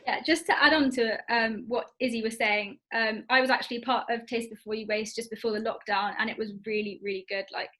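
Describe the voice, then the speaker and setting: Young woman, relaxed and chatty, reads out questions on a video call. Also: fairly high pitch, a little fast, few pauses. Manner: casual, uses "like" and "um". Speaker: Q&A moderator.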